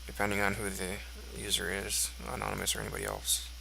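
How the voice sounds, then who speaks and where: A man's voice: low voice, big pitch swings, fast, creaky, background noise. A congested man thinking aloud as he walks through code on screen, musing and offhand. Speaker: code reviewer. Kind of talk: screen-recorded code walkthrough.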